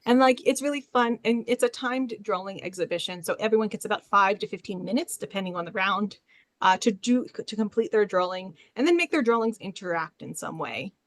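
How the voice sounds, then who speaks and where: Woman librarian doing a relaxed webinar talk, mid voice, really dry close audio. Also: medium pitch, a little fast, no room sound. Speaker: librarian presenting on a panel. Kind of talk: informal webinar presentation.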